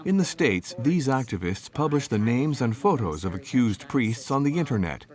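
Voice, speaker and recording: A man narrating a documentary in a measured, grave scripted delivery. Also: mid-range voice, sing-song. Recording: voice-over with clear music behind.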